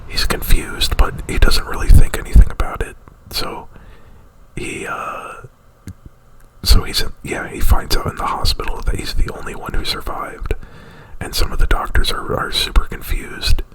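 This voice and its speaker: A man whispering an ASMR retelling of a movie plot right up at the mic, in a halting, rambling, informal way. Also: low-quality recording. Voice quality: breathy whisper. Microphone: very close to the mic.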